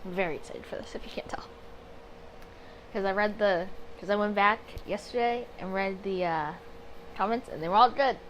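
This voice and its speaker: A woman's voice: medium pitch, normal speed, pauses often, low-quality recording. A woman hosting a casual let's play, chatty and rambling, stopping to correct herself and reading visual novel text aloud. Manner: chatty, rambling, self-interrupting and apologetic. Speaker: host of a gaming channel. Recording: home recording with background noise.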